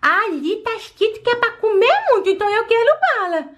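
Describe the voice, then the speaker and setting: A woman's voice: extremely high pitch, very animated, a little fast, a bit bright, dull audio. A woman voicing a greedy, cheeky little girl doll in a playful doll storytelling video. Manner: playful, childlike chatter. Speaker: channel host voicing dolls.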